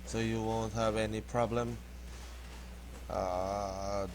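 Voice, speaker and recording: Filipino man, low voice, halting game commentary. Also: level tone, darkish tone, a little hiss or hum, rough recording. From Philippines. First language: Filipino language. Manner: hesitant, halting, restarts words often. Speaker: gaming tutorial host. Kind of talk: casual gameplay commentary.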